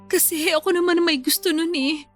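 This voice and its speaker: A woman's voice: very high-pitched, steady tone, fairly quick, soft background music. A Filipino woman playing a lovesick, insecure pregnant bride-to-be in a radio drama, confiding emotionally and clinging to hope. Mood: hurt, confiding. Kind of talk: radio drama acting in Tagalog.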